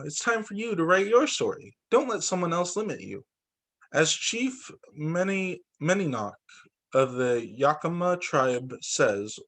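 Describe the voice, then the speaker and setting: A man, a teacher, reading a picture book aloud to students in an expressive, deliberate storytelling way. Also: fairly high pitch.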